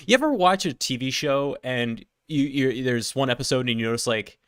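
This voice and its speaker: A man's voice: medium pitch, lively intonation, moderate pace, a bit bright, smooth, no room sound, studio quality. Chatty man with a head cold. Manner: chatty, laughs between points. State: sniffly with a cold. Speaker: livestream host. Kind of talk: casual tech news livestream.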